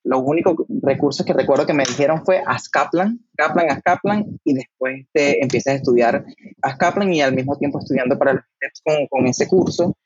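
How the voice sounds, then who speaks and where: A man's voice: mid-range voice, brisk, husky, no echo, dull audio. A man in his thirties, a doctor, answering interview questions casually and often repeating words as he recalls his story. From Venezuela. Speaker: guest, doctor.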